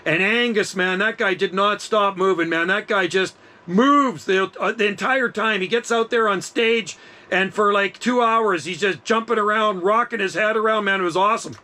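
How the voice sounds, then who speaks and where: A man's voice: high-pitched, moderate pace, bright, forceful tone. A middle-aged man chatting casually as an amateur radio operator, enthusiastic and slangy. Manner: enthusiastic, laid-back slangy talk.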